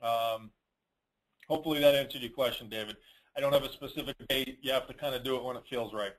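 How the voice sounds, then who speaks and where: A man's voice: mid-range voice, fast, low-quality recording. A man hosting an informal question-and-answer call, giving advice in a blunt, colloquial way and repeating points for emphasis. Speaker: host of a dealer network conference call answering questions. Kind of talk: informal Q&A, advising.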